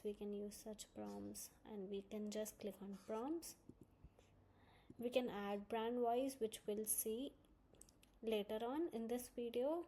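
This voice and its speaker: Mellow-voiced woman giving a software walkthrough. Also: medium pitch, a little hiss or hum, rough recording. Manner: step-by-step explaining. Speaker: software tutorial presenter. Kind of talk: screen-recorded software walkthrough, instructional narration.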